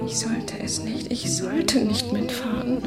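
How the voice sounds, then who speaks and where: A woman's voice: a little high, fairly even intonation, measured pace, over loud music, close to an everyday voice. A young woman playing a self-reliant, proud typist in a German radio play, with a dry, guarded manner. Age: young adult.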